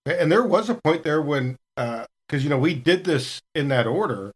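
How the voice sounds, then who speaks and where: A man's voice: a little high, expressive, moderate pace, breathy edge. A man talking casually as a cast member of a gaming podcast. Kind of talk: casual chat.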